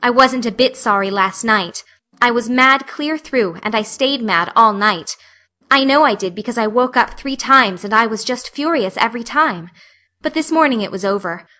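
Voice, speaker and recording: A woman voices a talkative young girl in a storybook. The voice is fairly high and clear-edged bright, earnest and dramatic, with a plaintive solemnity. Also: normal speed. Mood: contrite, earnest. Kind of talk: audiobook character acting.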